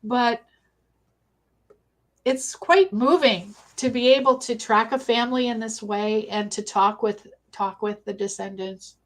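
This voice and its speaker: A woman speaking as a guest researcher, giving conversational interview answers in a thoughtful, halting way. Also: a little high, poor audio.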